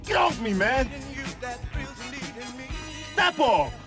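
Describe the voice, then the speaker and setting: An actor playing a tough, defiant fighter in a video game cutscene. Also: male voice, very high-pitched, huge pitch swings, extremely slow, heavily acted. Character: tough, defiant male fighter standing his ground. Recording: loud music behind the voice.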